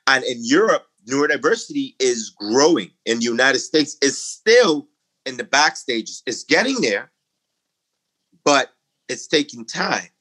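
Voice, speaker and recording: A middle-aged man from the Bahamas with a Bahamian accent, a guest in a conversational interview, talking emphatically and climbing in pitch when he makes a point. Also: lively intonation, a little slow, pushed and bright, husky, poor audio. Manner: emphatic, rising to a preaching lilt when making a point.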